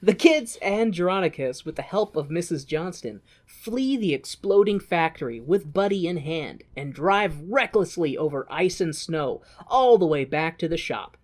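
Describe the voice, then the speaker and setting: A woman's voice: low-pitched, lively intonation, normal speed, hardly any pauses, a little mellow. A woman co-hosting a podcast, recapping a film in a casual, comedic way with playful, wry asides. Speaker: podcast co-host. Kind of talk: casual comedic movie recap, partly reading from notes.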